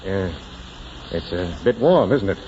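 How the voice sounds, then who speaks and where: An actor playing a crotchety old judge with a blustery, grumbling manner, in 1940s radio drama style. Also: male voice, deep voice, animated, measured pace, mellow. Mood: crotchety, huffy. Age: older. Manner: blustery, grumbling, hesitant hems. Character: crotchety old judge, the mayor's checkers rival. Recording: muffled, hissy 1940s radio recording.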